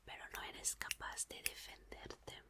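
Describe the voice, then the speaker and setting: A woman playing a reassuring, curious young female mechanic in an ASMR roleplay, speaking in a soft, breathy, soothing whisper. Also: natural voice. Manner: soft, unhurried, soothing murmur with repeated phrases.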